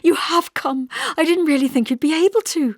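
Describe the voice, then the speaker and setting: A woman voicing an excitable, grateful young pregnant woman who gushes questions in an audiobook. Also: high-pitched, dry recording, clean studio sound, pitched above the performer's own voice, natural voice. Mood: emotional relief. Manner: gushing, rapid questions. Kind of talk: audiobook character voice.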